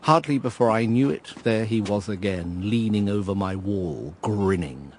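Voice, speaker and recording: An actor playing a brooding, wistful man who narrates a radio drama in a measured, reflective way. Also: on the low side, animated, a little hiss or hum. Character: brooding, wistful male narrator looking back on lost love.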